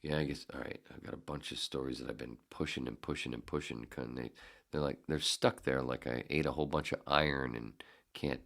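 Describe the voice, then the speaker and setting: A man's voice: very deep voice, steady tone, a little fast, vocal fry, fairly bright tone. A man hosting a solo podcast, talking in a rambling, profane, self-deprecating way. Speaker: podcast host. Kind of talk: casual solo podcast monologue.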